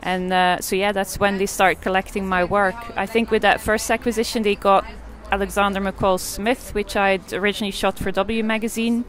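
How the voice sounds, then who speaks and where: Flat-voiced Belgian-born woman photographer. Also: mid-range voice, normal speed, hardly any pauses. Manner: hesitant, many fillers and restarts. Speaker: artist and photographer. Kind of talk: casual interview answers.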